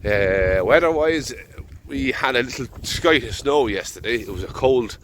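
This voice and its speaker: A man's voice: mid-range voice, animated, unhurried, a little hiss or hum. An Irish man farming, talking easygoing and rambling out in a field while fighting off a head cold. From Ireland. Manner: easygoing, rambling, thinking aloud. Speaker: farmer. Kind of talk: casual vlog narration. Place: outdoors in a field.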